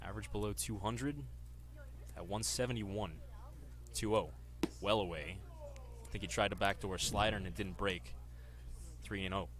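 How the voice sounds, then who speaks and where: Young man, bright sports announcer voice. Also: on the low side, steady tone, moderate pace, long pauses. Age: 20s. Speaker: sports reporter and baseball radio play-by-play announcer. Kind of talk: sports reporting and live baseball commentary.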